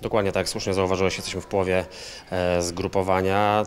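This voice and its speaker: A man's voice: low-pitched, little pitch movement, a little fast, a little hiss or hum. An assistant football coach in his thirties answering post-match questions in a hotel lounge, often repeating words as he searches for phrasing. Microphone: handheld interview mic.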